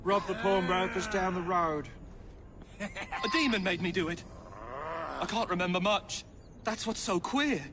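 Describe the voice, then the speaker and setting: A man's voice: high voice, fairly even intonation, measured pace, pauses often. A man playing a bewildered, haunted thief in a video game, protesting his innocence in a hazy manner. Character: bewildered jailed thief claiming demonic control. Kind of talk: game cutscene dialogue. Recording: clear ambient noise.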